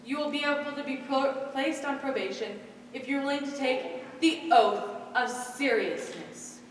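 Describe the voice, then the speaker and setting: Young woman acting stern high-voiced magistrate, echoey hall. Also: normal speed, lightly acted. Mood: officious. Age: teenager. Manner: declamatory, authoritarian. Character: stern humorless female magistrate in uniform. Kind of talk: high school stage play acting. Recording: echoey school stage hall, distant mic, faint noise.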